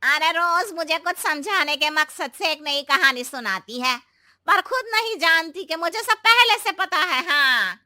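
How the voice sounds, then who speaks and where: A woman voicing a stern, nagging village mother-in-law in a moral story, brusque and fond of sharp tag words. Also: extremely high pitch, lively intonation, unhurried, no room sound, studio quality, full character voice. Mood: irritated. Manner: brusque, nagging, ends remarks with a sharp "haan". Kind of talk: animated Hindi moral-story voice acting.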